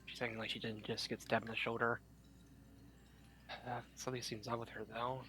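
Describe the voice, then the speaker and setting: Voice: mid-range voice, level tone, a little fast, noisy background. A person of unclear sex commenting on and reading along with a game. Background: game music.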